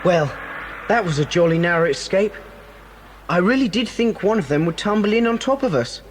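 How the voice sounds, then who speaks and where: A man's voice: sits high, animated, moderate pace. A male actor playing a confident, reassuring British schoolboy in a children's adventure audio drama. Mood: relieved. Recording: noisy old cassette recording.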